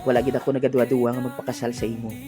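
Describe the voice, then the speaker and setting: A woman's voice: very deep voice, level tone, very fast, music in the background. A woman playing a cheerful village sweetheart in a comic audio drama, with a Hiligaynon accent. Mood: playful, affectionate.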